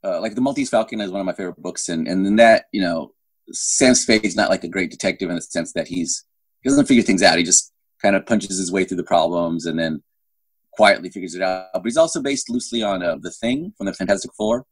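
A man's voice: low voice, a little fast, slightly rough, no echo, poor audio. A middle-aged man chatting casually as an interview guest, rambling and often restarting his sentences mid-thought. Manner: rambling, self-interrupting with repeated false starts. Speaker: guest novelist. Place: indoor room at home. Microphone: phone at arm's length on a video call.

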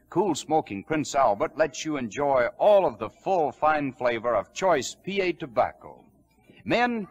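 A man's voice: mid-range voice, husky. A man announcer reading a sponsor's ad in an emphatic salesman's delivery on an old 1940s radio broadcast.

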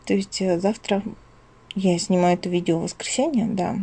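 A woman's voice: on the low side, steady tone, moderate pace, warm tone, breathy edge. A woman talking casually as a craft vlogger at home, rambling and interrupting herself in an affectionate way. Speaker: craft vlogger, channel host. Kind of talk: casual chatty craft vlog. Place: at home, indoors.

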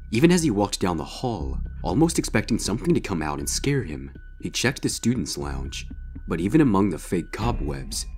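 A man's voice: low-pitched, darkish tone. A man narrating a scripted story in a dramatic reading style.

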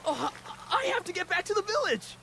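High-pitched, startled boy hero. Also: very animated, brisk, vocal fry, noisy background, heavily acted. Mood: startled, puzzled. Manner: exclamatory, youthful, bewildered. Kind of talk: anime-style video game dub.

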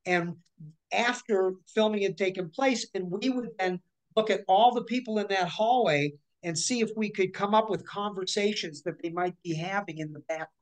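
A woman in her forties, a voice actor, telling animated stories with lots of restarts over a video call from her living room. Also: on the low side, rough recording. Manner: chatty, animated, with many restarts and hand gestures. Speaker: guest voice actor. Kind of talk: casual interview storytelling.

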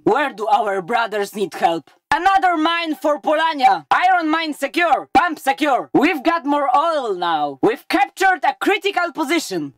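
Steely soldier, wide-ranging pitch. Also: female voice, no echo. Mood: calm, dutiful. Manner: clipped, commanding military delivery. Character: steely armored exosuit soldier, battle-hardened and dutiful. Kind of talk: military strategy game unit barks.

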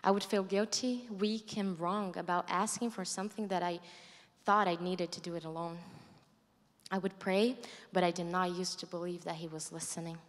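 A young woman from Brazil with a Brazilian Portuguese accent, reading her prepared testimony aloud in an earnest, measured way into a handheld mic on a stage. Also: lowish voice, fairly even intonation. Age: young adult. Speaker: student giving a testimony. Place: large dark stage hall. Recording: long hall reverb.

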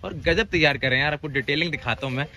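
Fast, bright-voiced car vlogger man. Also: fairly high pitch. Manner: chatty, informal, asking questions. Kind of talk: casual car walkaround vlog chat. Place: open-air used car lot, partly inside car. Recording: outdoor ambient noise.

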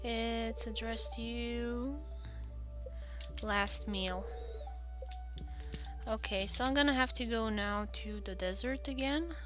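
A woman's voice: a little high, slow pace, long pauses, a bit bright, soft background music. A woman giving casual game walkthrough commentary, thinking aloud in a hesitant way. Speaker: gaming video host.

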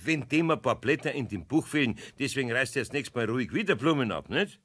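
A man's voice: mid-range voice, lively intonation, fast, fairly bright tone. A man playing a sensible, grumbly but patient older craftsman with a Bavarian accent in a children's radio play.

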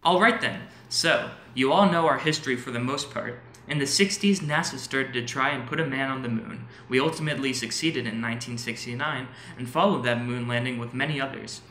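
A man's voice: mid-range voice, fairly quick, hardly any pauses, bright, forceful tone, close to an everyday voice. A man playing a polite NASA employee giving a formal presentation in an audio drama. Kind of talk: scripted presentation. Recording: roomy echo, faint background noise.

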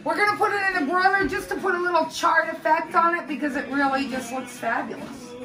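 A woman's voice: very high-pitched, a little fast, bright, forceful tone. A woman co-hosting a home cooking video, chatty and laughing as she explains each step in her kitchen. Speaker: cooking show co-host. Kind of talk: casual home cooking demo. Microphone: far camera. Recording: echoey room from far off with music.

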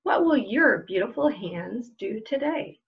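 A woman's voice: a little high, animated, unhurried, creaky. A middle-aged woman hosting a children's story time as a librarian, explaining things simply, on lo-fi home webcam audio. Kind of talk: children's story time read-aloud and craft instructions. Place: indoors in a room at home.

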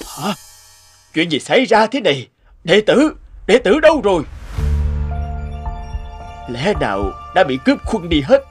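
A man's voice: sits high, sing-song, unhurried, pauses often. A man voicing a distraught old monk master in a cartoon, wailing and exclaiming theatrically. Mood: panicked, distraught. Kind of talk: cartoon voice acting. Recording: loud background music.

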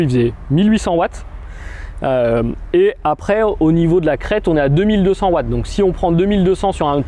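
A man's voice: sits high, mellow, a little hiss or hum. Chatty man demoing gear by a car. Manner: explanatory, chatty, with fillers. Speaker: product demonstrator. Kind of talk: casual product demo. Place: outdoor car lot beside a car.

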